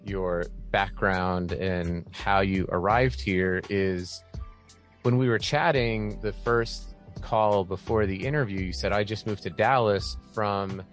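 A man hosting a podcast interview, chatty and quick to laugh, often restarting his phrases. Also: lowish voice, soft background music. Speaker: podcast host. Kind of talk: casual interview.

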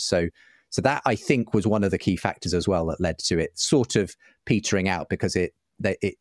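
A man's voice: low-pitched, no room sound, studio quality. A middle-aged man giving an earnest, articulate, discursive explanation in conversation as an interview guest. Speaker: interview guest, writer and broadcaster.

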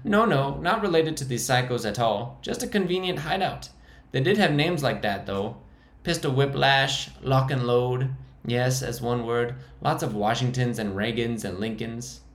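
A man voicing an awkward, high-voiced male survivor with a squeaky tone. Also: measured pace, close to an everyday voice. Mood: wry awkward. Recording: roomy echo.

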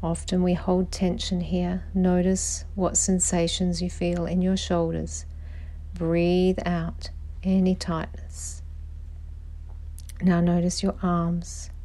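Woman, meditation guide, lowish voice, creaky fry, calm, measured pauses. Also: poor audio. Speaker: meditation guide, podcast host. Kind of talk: slow guided meditation narration.

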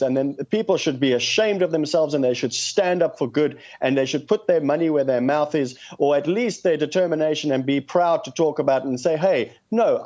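A man's voice: fairly high pitch, darkish tone. A man with a South African accent, speaking earnestly and passionately as a guest on a call-in show. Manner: earnest, impassioned. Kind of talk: phone-in interview. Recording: muffled lo-fi phone line.

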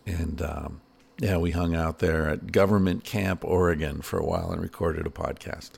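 A man with a very low, gravelly voice hosts his own podcast. He talks casually and playfully, cracking jokes between listener greetings and an ad read. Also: moderate pace. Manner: playful, joking, animated asides. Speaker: podcast host.

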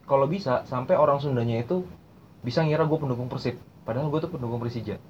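A man's voice: mid-range voice, quick pace. A young man hosting a casual podcast chat, explaining language learning in slang and repeating phrases as he goes. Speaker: podcast host. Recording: muffled lo-fi recording with faint noise.

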